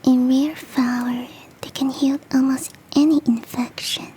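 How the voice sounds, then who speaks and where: Casting: woman to play a gentle, doting yeti hostess for ASMR roleplay, high-pitched but mellow, whispering affectionately over faint noise. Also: level tone, a little slow, rough recording. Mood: curious, affectionate. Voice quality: soft, breathy whispering. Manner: gentle, coaxing, affectionate, self-deprecating. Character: big, sweet, doting female yeti hostess.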